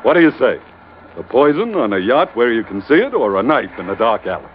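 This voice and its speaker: A dull old radio recording with faint noise. A male actor plays a game, breezy sailor and first mate, with animated swings of pitch. His delivery is brash and persuasive, in old radio-drama style. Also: brisk, lightly acted. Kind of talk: 1940s radio acting.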